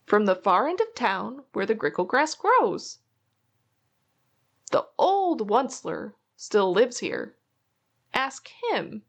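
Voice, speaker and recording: A woman reading a rhyming children's storybook aloud in an expressive, theatrical way. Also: mid-range voice, gravelly. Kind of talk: read-aloud storytelling of a rhyming picture book.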